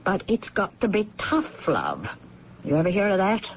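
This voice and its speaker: An actress playing an elderly, outspoken, disapproving housekeeper with blunt, folksy speech in a radio drama. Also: female voice, medium pitch, wildly swooping pitch, normal speed. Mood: scornful, blunt. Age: older. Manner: blunt, plain folksy grammar. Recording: dull lo-fi radio sound, faint noise.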